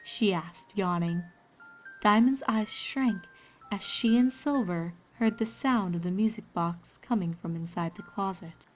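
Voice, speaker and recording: A woman's voice: mid-range voice, a little slow, a little mellow, faint music behind, poor audio. A woman storyteller reading scripted fiction aloud, with ambient noise behind her.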